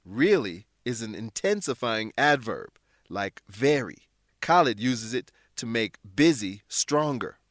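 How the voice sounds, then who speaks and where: A man narrating a scripted English lesson as a teacher, explaining words in a deliberate, instructional manner. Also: medium pitch, very animated, dry recording, clean studio sound.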